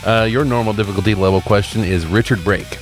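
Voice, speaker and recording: A man's voice: on the low side, fast talker. A man co-hosting a casual quiz-style chat about movies and games, joking and swearing informally. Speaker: co-host. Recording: guitar music behind the voice.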